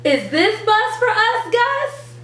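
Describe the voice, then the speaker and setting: A woman's voice: very high-pitched, big pitch swings, slow pace, a bit bright, voice pushed up, heavily acted. A woman voicing an eager, questioning child in a picture-book read-aloud. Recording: roomy, distant, faint background noise.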